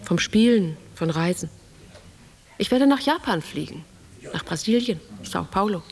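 An actress playing an introspective, weary woman lost in memories, speaking a poetic, often hushed monologue in a radio drama. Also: mid-range voice, animated, a little slow, creaky. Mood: recounting. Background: music.

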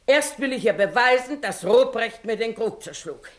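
Village plaintiff, female, actress: emphatic, accusing and insistent, classical radio comedy, highly animated pitch. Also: normal speed, lightly acted. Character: indignant, insistent village woman bringing a complaint. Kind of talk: 1960s German radio play acting, classical verse comedy.

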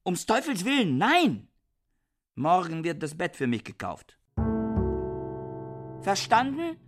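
An actor playing a pedantic, irritable, bookish husband in a radio drama, speaking in a curt, formal and exacting way. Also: male voice, sits high, huge pitch swings, slow, music in the background, heavily acted. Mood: furious.

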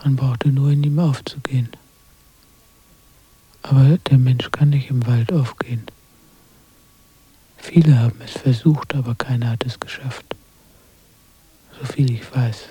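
An actor playing a quiet, reflective listener who comments on loneliness in a hushed, whispery radio drama voice. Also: medium pitch, fairly even intonation, a little slow, pauses often, slight background noise, close to an everyday voice, rough recording. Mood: musing, hushed. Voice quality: whispery, very breathy. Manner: reflective, aphoristic. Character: quiet, musing interlocutor commenting gently on loneliness.

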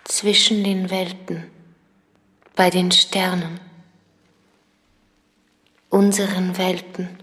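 An actress playing a lost soul in a radio drama, a tender, yearning female spirit with slow, breathy, partly whispered poetic lines. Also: fairly low pitch, little pitch movement, a bit bright. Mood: yearning, ethereal. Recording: long cavernous reverb.

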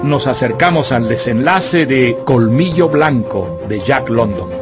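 Male radio announcer over loud music. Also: mid-range voice, lively intonation, unhurried. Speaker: programme announcer. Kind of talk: scripted radio continuity.

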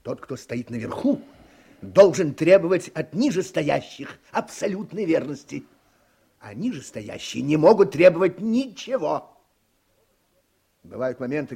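An actor in a Russian radio play voicing a cynical, calculating newspaper editor, sardonic and deliberate, who lectures like a political adviser. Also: male voice, medium pitch, creaky, darkish tone, slightly rough, heavily acted.

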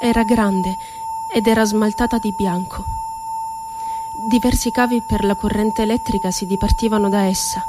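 An actress playing a bitterly ironic psychiatric inmate in a radio theatre monologue, telling her story in a deliberate, dramatic way. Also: medium pitch, wildly swooping pitch, background noise. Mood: frightened, anguished. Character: woman psychiatric inmate recounting asylum horrors, bitterly ironic. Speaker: stage actress.

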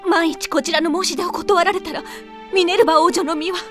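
A woman playing a gentle, devoted young princess with a polite, concerned manner in a radio drama. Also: very high voice, fairly even intonation, brisk. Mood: worried. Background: music.

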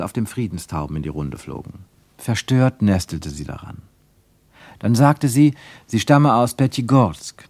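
A man narrating a literary radio play with expressive, deliberate phrasing. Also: lowish voice, no room sound.

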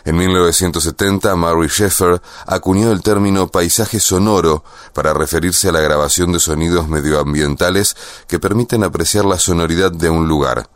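A man with a low voice delivers scripted, informative radio narration. He announces facts in complete, prepared sentences. The sound is very dry, like a studio voice-over. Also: fairly even intonation, normal speed, no echo.

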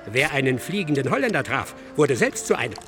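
An actor playing an excitable, self-absorbed male scholar in a German radio drama, lecturing insistently and theatrically. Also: mid-range voice, a little fast, over music. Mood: hushed, ominous. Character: excitable, self-absorbed male scholar expounding his dark ghost-ship thesis.

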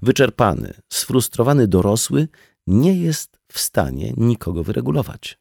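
A man's voice: medium pitch, sing-song, moderate pace, gravelly, a little mellow, slightly rough, dry recording, studio quality. A man reading a scripted audiobook dialogue as a narrator. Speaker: audiobook reader.

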